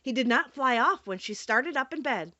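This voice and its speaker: A woman narrating a children's storybook in an expressive, dramatic storytelling manner. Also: high voice, moderate pace, no echo, lo-fi sound. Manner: animated, storytelling delivery with dramatic emphasis. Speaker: audiobook narrator.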